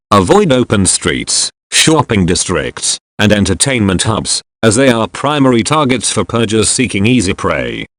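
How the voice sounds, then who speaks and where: A man voicing a deep, solemn emergency announcer. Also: no echo. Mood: stern warning. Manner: formal, grave, measured delivery. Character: solemn male emergency alert announcer of a dystopian government. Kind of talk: scripted emergency broadcast narration.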